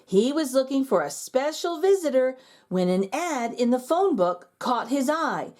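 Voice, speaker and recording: High, animated woman reading a picture book. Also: unhurried. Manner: expressive and animated. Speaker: storyteller.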